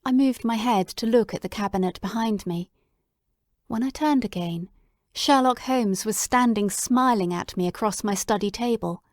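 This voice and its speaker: A woman's voice: a little high, moderate pace, dry recording, studio quality. Woman narrating a classic audiobook. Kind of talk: first-person audiobook narration.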